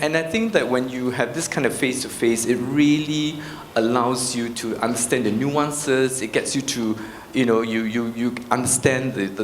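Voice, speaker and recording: A man with a Singaporean accent speaking informally on a panel, explaining his points conversationally and checking in with short tag questions. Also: mid-range voice. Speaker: panel speaker, arts practitioner. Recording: roomy echo, clear background noise.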